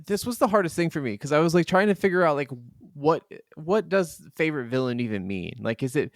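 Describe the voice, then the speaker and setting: A man's voice: on the high side, expressive, fairly quick, no echo, studio quality. A man co-hosting a podcast, chatting casually about video games and often repeating short words of agreement. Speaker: podcast co-host.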